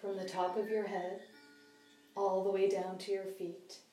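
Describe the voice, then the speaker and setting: A woman leading a guided body scan meditation as a mindfulness teacher, speaking gently and leaving long, unhurried pauses. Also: mid-range voice, flat delivery. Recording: distant, roomy sound with soft music.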